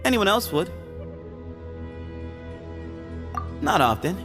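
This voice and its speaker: A man's voice: high-pitched, big pitch swings, fairly quick, over music. An actor playing a young man of the desert in a video game, breezy, teasing and evasive. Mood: candid. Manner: playful, nonchalant, coy.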